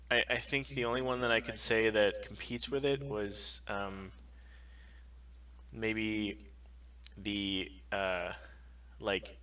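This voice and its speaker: A man co-hosting a podcast stream, chatting casually and informally in a halting way, recorded some distance from the mic. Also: fairly low pitch, sharp tone. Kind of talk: casual chat. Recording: distant from the microphone.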